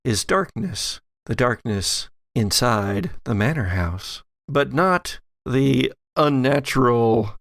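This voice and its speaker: A man narrating a tabletop horror game as game master, in a measured, halting storytelling manner. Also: medium pitch, expressive, a bit bright, no room sound, clean studio sound.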